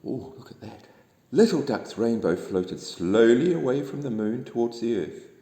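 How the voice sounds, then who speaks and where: A man reads a children's bedtime story in a gentle, expressive way. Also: medium pitch, moderate pace. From New Zealand. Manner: gentle, expressive, addressing young listeners. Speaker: storybook reader. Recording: echoey room with long reverb.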